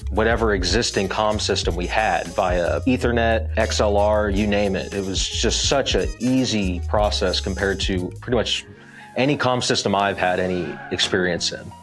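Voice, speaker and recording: Low-voiced man in interview over music. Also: normal speed, a bit bright. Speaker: church video specialist, interviewee. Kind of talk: informal testimonial interview.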